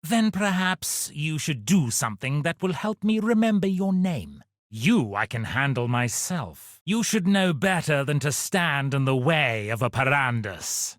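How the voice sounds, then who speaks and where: An actor playing a pompous, scheming aristocratic villain in a video game, haughty, condescending and melodramatic. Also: male voice, fairly high pitch, lively intonation, measured pace, a bit bright, no echo, clean studio sound. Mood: exasperated, scolding.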